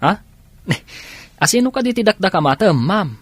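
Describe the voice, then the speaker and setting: An actor with an Ilocano accent playing a dim-witted comic villager in a radio drama, bewildered and questioning. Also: male voice, high voice, expressive, moderate pace, dry recording. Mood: baffled.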